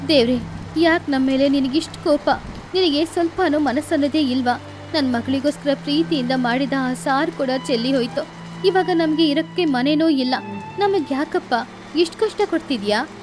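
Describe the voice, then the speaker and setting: A woman's voice: sits high, moderate pace, darkish tone, a little hiss or hum, close to an everyday voice. A woman voicing a devoted, protective mother sparrow in a children's bedtime story, earnest and motherly. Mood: anguished. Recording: music behind the voice.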